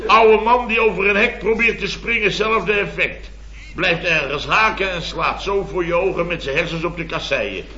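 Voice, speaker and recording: An actor playing an exasperated, blustering boss in an old radio comedy, telling indignant tales with mimicked quotes. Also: male voice, high voice, normal speed, pushed and bright. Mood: gleefully appalled. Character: blustering, exasperated male boss of a building firm. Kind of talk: 1970s Dutch radio comedy acting. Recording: muffled old radio recording, roomy, studio audience.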